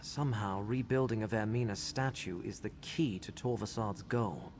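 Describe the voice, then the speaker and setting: A man's voice: on the low side, moderate pace, darkish tone, natural voice. Man playing an earnest adventurer, noisy backdrop. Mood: inquisitive, thoughtful. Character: earnest adventuring companion scholar, protective and inquisitive man. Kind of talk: video game dialogue.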